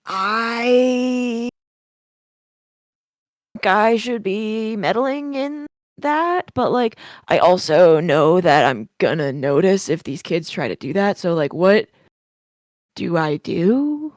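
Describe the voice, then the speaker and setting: A woman's voice: medium pitch, animated, dry recording, clean studio sound. A woman voicing Dario, an anxious, blunt young male camper who rambles with worry, in a tabletop role-play. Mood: worried. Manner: worried, rambling, quick.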